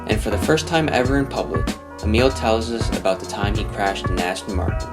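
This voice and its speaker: A man's voice: lowish voice, expressive, a little fast, echoey room, over loud music. A man hosting a talk show, reading a prepared intro and asking questions in a methodical way. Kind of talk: scripted intro and interview questions.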